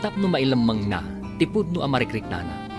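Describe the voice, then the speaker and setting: A man's voice: mid-range voice, very animated, fairly quick. A man with an Ilocano accent reading scripted radio drama narration as the announcer, in a dramatic, emphatic way. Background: music.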